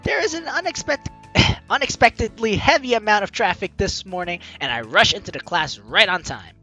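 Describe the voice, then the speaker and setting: A man's voice: sits high, animated, normal speed, bright, forceful tone. A man hosting a gaming video, reading game narration aloud and chatting casually with playful asides. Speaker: gaming video host, let's player. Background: game music.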